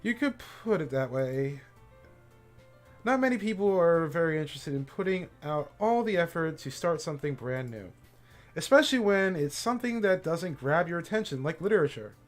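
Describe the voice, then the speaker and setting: A cheerful teenage club president with a bright, eager lift to every line, as a man puts her on while reading a game aloud. Her pitch sits a little high and swings about in lively, animated curves. She sounds encouraging and sure of herself. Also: moderate pace, faint music behind. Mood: upbeat. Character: cheerful composed teen girl club president. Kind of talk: voicing game characters on a live stream.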